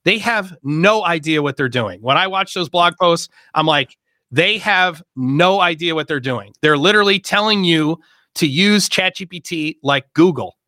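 A man hosting a casual discussion, explaining in quick, emphatic bursts with many fillers. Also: fairly high pitch, animated, pushed and bright, no room sound, studio quality. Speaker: host and workshop leader.

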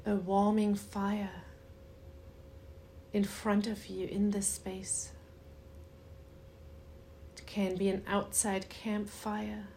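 Very slow, even-toned woman guiding meditation. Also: medium pitch, slight background noise. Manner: soothing, unhurried, with long pauses. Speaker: meditation guide. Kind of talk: guided meditation.

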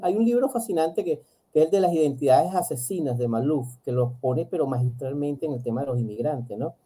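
A man's voice: a little high, animated, fairly quick, warm tone, breathy edge. A man, a psychologist, talking reflectively in an interview, often restarting and repeating words. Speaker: psychologist guest. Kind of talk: interview conversation.

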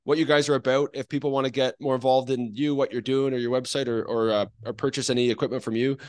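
A man's voice: mid-range voice, level tone, quick pace, darkish tone. A man hosting a podcast in a casual, informal way, with loose rambling phrasing. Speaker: podcast host. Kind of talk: casual conversational interviewing.

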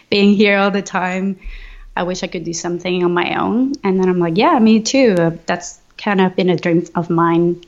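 A woman from Venezuela, a designer speaking in a soft, hesitant way with many ums. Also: fairly low pitch, creaky, dull audio. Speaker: graphic designer, guest. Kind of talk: casual interview.

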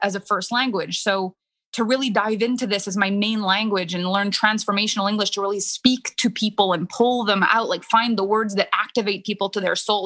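A woman in her thirties with a French Canadian accent, whose first language is French, talks through her story with candid animation. Her voice sits at a middle pitch and keeps a loose, conversational flow, with "like" scattered through. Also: fairly bright tone. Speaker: business coach. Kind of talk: casual interview chat.

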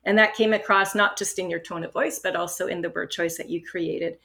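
A woman from Canada explaining speaking-test strategies in an encouraging, steady instructional manner. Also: fairly low pitch, brisk, creaky. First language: English. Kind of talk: instructional podcast talk.

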